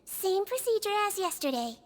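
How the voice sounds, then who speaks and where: A woman voicing a sweet, small, childlike head nurse in a game, explaining things gently and patiently. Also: extremely high pitch, sing-song, normal speed. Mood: calm, earnest.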